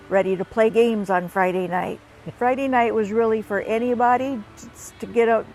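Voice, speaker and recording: A woman's voice: medium pitch, darkish tone, slight background noise, low-quality recording. A woman telling personal stories in a documentary interview about her work as a ministry host. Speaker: military hospitality ministry worker. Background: background music.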